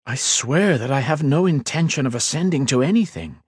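A man voicing an earnest, calm male mage lord who speaks evenly and respectfully. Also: a little high, brisk, slightly rough, dry recording, clean studio sound, voice pushed up. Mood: pleading.

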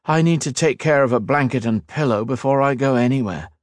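A man's voice: mid-range voice, fairly quick, no echo, clean studio sound, pitched above the performer's own voice, close to an everyday voice. A man voicing a fond young woman. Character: young adult woman, warm and devoted.

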